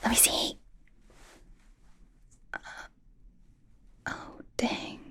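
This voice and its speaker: A woman playing an eager, wry young werewolf medical student in a whispered ASMR roleplay, chatty and a little hesitant. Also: drawn-out words. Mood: incredulous. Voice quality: breathy, soft whisper. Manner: chatty, hesitant, gently sarcastic, giggly.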